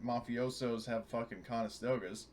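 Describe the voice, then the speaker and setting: A man's voice: medium pitch, fairly even intonation, normal speed, darkish tone. A man playing a tabletop game, chatting casually and jokingly, with slangy, sweary talk. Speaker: player in a tabletop RPG.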